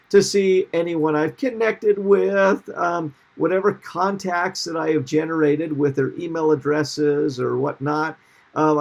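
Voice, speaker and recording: Middle-aged man, marketing instructor, gravelly, slightly high voice, upbeat seminar delivery. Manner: upbeat, repeats "okay?" to check in. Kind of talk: recorded seminar lesson.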